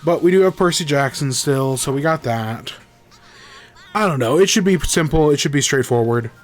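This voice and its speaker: A man's voice: on the high side, lively intonation, moderate pace, soft background music. A young man hosting a gaming podcast in a casual, rambling way, congested from a cold with a scratchy throat. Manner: rambling, self-correcting. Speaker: podcast host. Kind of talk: casual livestream chat.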